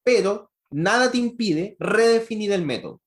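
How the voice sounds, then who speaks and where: A man's voice: sits high, animated, normal speed. A man giving an online university lecture on programming, explaining step by step and checking in with frequent rhetorical questions.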